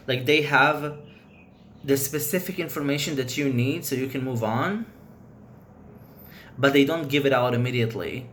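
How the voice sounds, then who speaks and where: A man's voice: mid-range voice, fairly bright tone. Native Hebrew-speaking man vlogger, mid-range voice, loose frank chat, light background noise. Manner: rambling, loose, frank opinions. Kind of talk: casual chat to camera.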